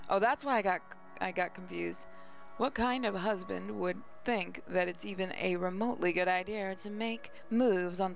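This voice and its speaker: A woman hosting a gaming channel, reading visual novel narration aloud, with faint music behind her. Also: on the low side.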